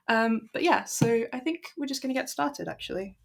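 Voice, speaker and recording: A young Irish woman with a croaky voice, chatting casually to her stream and then reading a classic story aloud in a steady, measured way. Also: on the high side. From Ireland. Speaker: livestream book reader.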